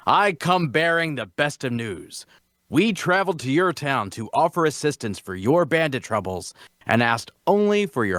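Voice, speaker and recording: A man's voice: medium pitch, a bit bright, no room sound, studio quality. A man playing a boastful, grandiose fantasy adventurer who declaims formally, as in a theatrical table read.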